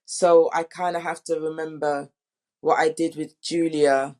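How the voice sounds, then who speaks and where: A woman director in a casual panel talk, thinking aloud in a halting, conversational way. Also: low-pitched, flat delivery, mellow. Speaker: audio drama director.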